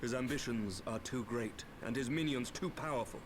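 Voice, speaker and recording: An actor playing an earnest, formal young nobleman knight in a video game, speaking in measured archaic phrases. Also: mid-range voice, noisy background, close to an everyday voice. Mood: grave resolve. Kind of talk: dramatic video game voice acting.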